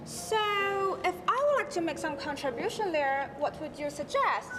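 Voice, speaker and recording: A woman's voice: very high-pitched, animated, moderate pace. A teen girl as an eager teenage son. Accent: Taiwanese. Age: teens. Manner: eager, questioning. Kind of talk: school stage play. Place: large school auditorium stage. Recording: echoey hall with music behind.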